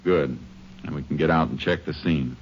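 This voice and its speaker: Deep-voiced, terse Texas Ranger. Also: male voice, normal speed. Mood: businesslike, probing. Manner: clipped, matter-of-fact, terse. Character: calm, methodical male Texas Ranger investigator. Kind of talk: 1950s radio western drama acting and narration. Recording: dull old radio recording with clear hiss.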